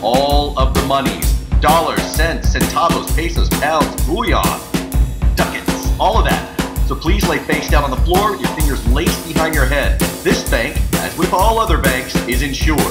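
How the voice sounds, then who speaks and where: A man's voice: fairly high pitch, animated, normal speed, natural voice. A man playing a bossy, self-important bank robber gang leader in a comedy audio drama, theatrical, sarcastic and foul-mouthed. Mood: grandly commanding. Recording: loud music behind the voice, roomy echo.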